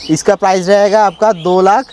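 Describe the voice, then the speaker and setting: High-pitched car salesman. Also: steady tone, normal speed, crowd noise. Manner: repetitive, emphatic about prices. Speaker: used-car dealer. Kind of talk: sales presentation of cars on camera. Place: used-car lot in Kolkata.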